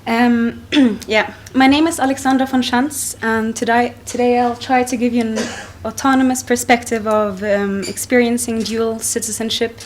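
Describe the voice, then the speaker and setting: A woman's voice: on the high side, fairly even intonation, a little hiss or hum. Young woman giving a conference talk at a lectern. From Finland. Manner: measured, reading with frequent ums and restarts. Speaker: conference speaker. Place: wood-panelled conference hall, indoors. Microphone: lectern microphone.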